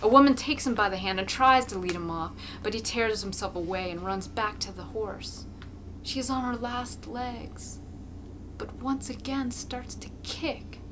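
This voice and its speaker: A woman's voice: on the high side, a little slow, noisy background. A woman amateur reader giving an intense, theatrical narration of a classic novel.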